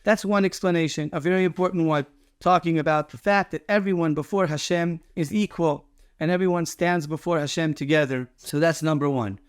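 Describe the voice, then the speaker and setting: A man's voice: a little high, fairly even intonation, fairly quick, clear, resonant tone, no room sound, clean studio sound. A man speaking as a rabbi, giving a short explanatory Torah teaching with emphatic repetition.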